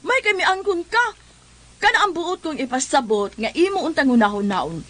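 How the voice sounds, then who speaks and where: A woman's voice: high-pitched, big pitch swings, moderate pace, fairly bright tone, dry recording. An actress playing an exasperated village woman who nags and lectures in a Cebuano comedy radio drama. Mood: lecturing. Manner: nagging, lecturing. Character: scolding, exasperated village woman, morally reproving.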